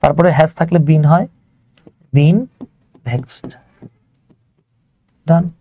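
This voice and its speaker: A man's voice: on the high side, drawn-out words, dark tone. A man with a Bangladeshi accent teaching a live online grammar lesson step by step, informal and chatty, often putting questions and answering them himself.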